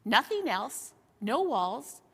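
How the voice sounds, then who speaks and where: Slow, expressive woman storyteller in a hall. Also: on the high side, creaky, slightly rough. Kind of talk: expressive children's book narration. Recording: hall-like long reverb.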